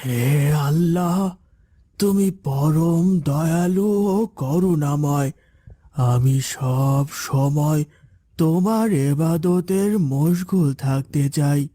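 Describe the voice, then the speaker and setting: A man's voice: a little high, very slow, warm tone, no echo, studio quality. A man playing a humble, pious, anxious old villager in a moral cartoon, speaking in a prayerful, pleading way. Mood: devout. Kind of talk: Islamic moral cartoon voice acting.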